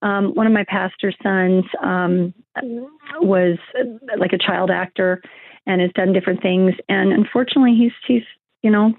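A woman's voice: mid-range voice, fairly even intonation, moderate pace, no echo. Woman guest on a tinny phone line. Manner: rambling, many fillers and restarts. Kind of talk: conversational interview.

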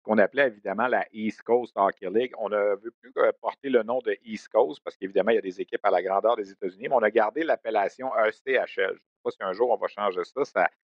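A man hosts a hockey radio segment in a low voice with plenty of fillers. The sound is dry and slightly muffled. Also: fast talker, few pauses, dry recording. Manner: conversational, many fillers. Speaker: sports radio host. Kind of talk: hockey news segment and interview.

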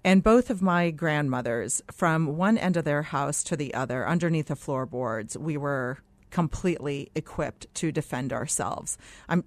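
A woman's voice: low voice, expressive, unhurried, no echo. A middle-aged woman from Lebanon speaking as a guest in a radio interview, explaining her views in an earnest, emphatic way with many asides. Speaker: political candidate, studio guest. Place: radio studio. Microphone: close studio microphone.

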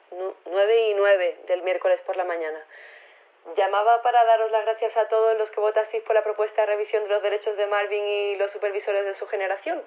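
An actress playing an earnest, slightly flustered university activist with a Spain accent, leaving an informal voicemail in an audio drama. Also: female voice, mid-range voice. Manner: hesitant, informal, self-conscious, pleading. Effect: phone filter. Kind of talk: casual voicemail in audio fiction. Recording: narrow tinny phone line.

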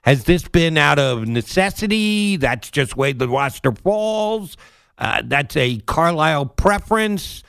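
A man's voice: fairly high pitch, lively intonation, slow, creaky, a bit bright, clean tone, dry recording. A man hosting a sports radio interview, putting questions conversationally in casual, punchy short phrases.

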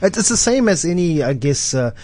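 A man's voice: on the high side, big pitch swings, brisk, darkish tone. Hesitant radio host man. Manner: hesitant, many restarts. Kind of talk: radio talk show. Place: radio studio.

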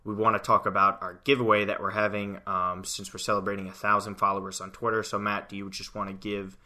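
A young man from the United States hosts a casual soccer podcast. His voice sits a little low but rings bright and sharp, and he talks with lively, conversational energy and plenty of fillers. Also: fast. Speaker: podcast producer and fill-in host.

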